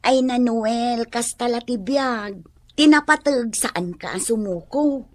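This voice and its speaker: A woman's voice: on the high side, slow, no room sound. An actress playing a kindly, encouraging young woman in a radio drama, with an Ilocano accent. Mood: reassuring.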